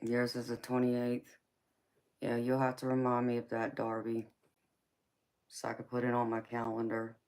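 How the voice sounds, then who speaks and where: Even-toned woman in her forties chatting. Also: rumbling low, moderate pace, pauses often, poor audio. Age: 40s. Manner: affectionate, calls viewers baby, honey and sweetheart. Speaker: tarot reader and livestream host. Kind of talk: casual live-stream chat with viewers.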